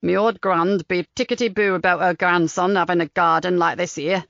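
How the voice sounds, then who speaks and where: A woman voicing a chatty, kindly old countryman gardener with a broad Lincolnshire dialect, slow and lower than her own voice. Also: steady tone, gravelly, fairly bright tone, dry recording. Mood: folksy. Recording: slightly dull dry recording.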